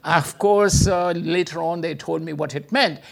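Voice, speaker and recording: A man's voice: a little slow, vocal fry. Hesitant man giving a teaching talk. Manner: hesitant, many filler sounds, lively pitch jumps; non-native English phrasing. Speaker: speaker teaching about Islam.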